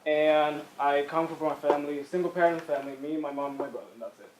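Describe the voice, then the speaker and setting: A man's voice: on the high side, steady tone, fast, noisy background. Young man from the Dominican Republic, echoey. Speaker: youth jobs program participant. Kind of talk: interview.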